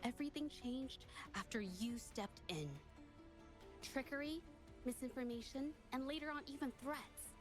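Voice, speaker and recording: An actress playing a firm, composed young female investigator pleading a case in a video game, measured and earnest. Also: high-pitched. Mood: earnest, accusing. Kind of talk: dramatic video game voice acting. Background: game music.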